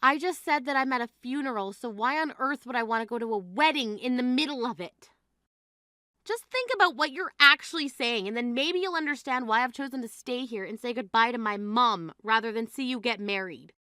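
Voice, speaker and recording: A woman's voice: a little high, animated, brisk, bright, forceful tone, no echo, clean studio sound. A woman playing an exasperated, grieving sister who scolds in an incredulous, reproachful way in a dramatized story. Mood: shocked, indignant. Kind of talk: dramatized phone-call story dialogue.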